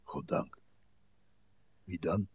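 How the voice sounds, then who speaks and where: An actor playing a gruff, morose old husband in a radio drama, terse and grumbling. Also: male voice, extremely slow, darkish tone, no room sound. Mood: dry, muttering. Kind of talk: broadcast radio drama acting.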